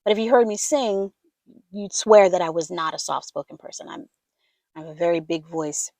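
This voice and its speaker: A soft-spoken woman giving casual advice as a voiceover coach, chatty and easygoing. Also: on the low side, moderate pace, no room sound. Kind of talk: casual instructional talk to camera.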